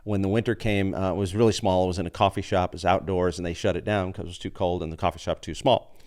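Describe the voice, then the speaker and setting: Low smooth-voiced man chatting. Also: steady tone, fast talker, a little mellow. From United States. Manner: chatty storyteller who acts out quoted people. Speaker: podcast guest, open mic organizer. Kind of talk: casual interview chat.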